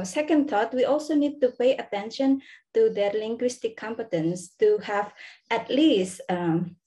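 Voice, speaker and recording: Slow, mellow woman asking. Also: a little high. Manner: polite, searching for words with "uh" and "how can I say". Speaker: lecturer asking a question. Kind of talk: formal audience question.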